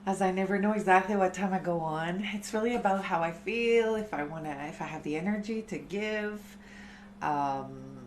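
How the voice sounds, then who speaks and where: A woman in her thirties, a chanting teacher, talking softly and unhurriedly to her live-stream community. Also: lowish voice, slight background noise. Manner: slow, soft, unhurried spiritual talk.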